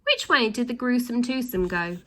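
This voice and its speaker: A woman's voice: on the high side, lively intonation, moderate pace, sharp tone, voice pushed up. A woman voicing a plucky, commanding young boy in a storybook reading, speaking urgently. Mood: brisk, commanding. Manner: urgent, ordering.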